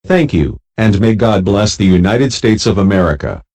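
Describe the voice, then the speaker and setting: A man playing a solemn American president giving a grave, measured, formal address to the nation in wartime. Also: fairly low pitch, lively intonation, darkish tone. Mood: grave, resolute.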